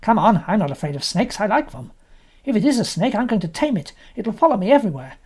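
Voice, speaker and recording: A woman's voice: medium pitch, very animated, fast talker, darkish tone, slightly rough, voice pushed up. A woman voicing an eager, boastful young boy in a storybook reading.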